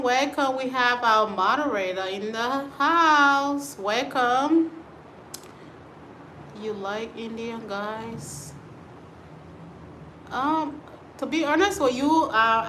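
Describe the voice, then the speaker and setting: A woman's voice: medium pitch, pushed and bright, reverberant, a little hiss or hum, low-quality recording. A woman from Liberia, hosting a casual livestream chat and answering viewer comments. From Liberia, West Africa. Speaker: livestream host. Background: storm and rain outside.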